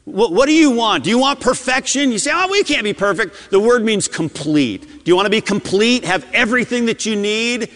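Male pastor preaching a fervent sermon, lively voice, reverberant church sound. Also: high voice, fairly quick, few pauses. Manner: impassioned preaching, swings between loud and hushed. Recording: long hall reverb.

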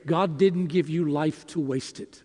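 A man preaching in a deliberate, emphatic way, breaking his lines into short phrases with dramatic pauses. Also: on the high side, warm tone. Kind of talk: sermon with emphatic rhetorical questions and scripture. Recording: long reverberant hall.

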